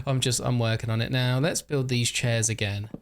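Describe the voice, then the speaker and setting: A man's voice: mid-range voice, steady tone, moderate pace, slight background noise. A man rambling through casual game commentary, overtired and a bit stuffy and nasal, often laughing at himself. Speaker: gaming YouTuber. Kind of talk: casual let's-play commentary.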